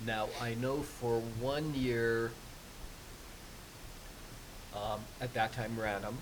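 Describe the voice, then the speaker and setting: A man whose first language is Persian, answering questions as a podcast guest in a measured, explaining way with frequent ums. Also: mid-range voice, steady tone. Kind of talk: conversational interview. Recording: hissy podcast recording.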